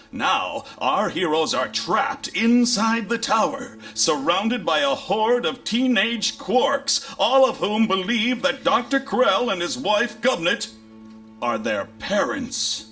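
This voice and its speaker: Space-serial announcer: grandiose, lively swings, melodramatic scripted narration over music. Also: male voice, on the high side, full character voice. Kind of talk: scripted serial recap narration, sci-fi comedy.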